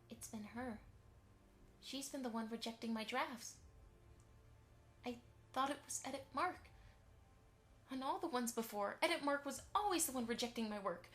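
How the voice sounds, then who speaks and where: An anxious mare novelist played by a woman in a fan audio drama. The voice is high and tense, with a fretful manner that easily spirals into panic. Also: fairly bright tone. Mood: stunned, confused.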